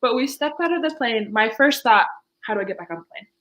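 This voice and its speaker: A woman's voice: fairly high pitch, expressive, fast talker, noisy background. A young woman from Fiji, a podcast guest, telling her story casually and laughing often. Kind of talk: casual interview chat.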